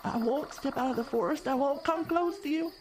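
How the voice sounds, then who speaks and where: A woman's voice: high voice, a little fast, a little hiss or hum, close to an everyday voice. A voice actor playing an old woman from the woods, the carnival owner's mother, who talks in folksy rural grammar and gives ominous warnings in a horror radio drama.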